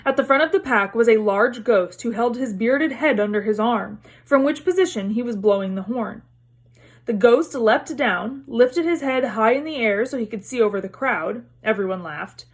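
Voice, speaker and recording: A woman reading a story aloud as narrator, in expressive storybook narration. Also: a little high, normal speed.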